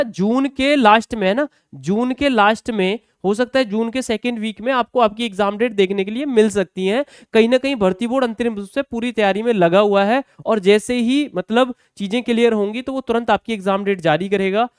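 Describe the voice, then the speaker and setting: A man's voice: sits high, moderate pace, few pauses, clear, resonant tone, no room sound, clean studio sound. A man explaining exam news to students as a coaching teacher, conversational and often checking in with short tag questions.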